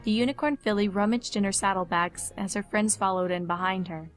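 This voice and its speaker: Female narrator, mid-range voice, scripted storytelling with soft music underneath. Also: mid-range voice, brisk.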